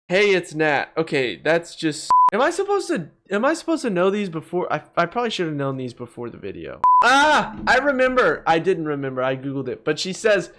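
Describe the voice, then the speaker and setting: A man's voice: a little high, a little fast, hardly any pauses, background noise. A young man giving casual, opinionated, joking commentary as he ranks things in a gaming video. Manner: animated, joking, mildly profane.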